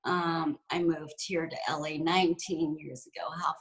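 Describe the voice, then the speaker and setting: A woman's voice: sits low, little pitch movement, moderate pace. A woman in her forties from San Francisco, talking candidly and reflectively as an interview guest, with frequent fillers. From San Francisco, USA. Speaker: guest executive and entrepreneur. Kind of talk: conversational interview.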